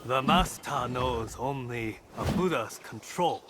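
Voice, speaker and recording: A man playing a thoughtful, principled martial arts master in a video game, measured and formal. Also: medium pitch, noisy background, natural voice. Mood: grave, instructive. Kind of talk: dramatic game cutscene acting. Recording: faint music behind the voice.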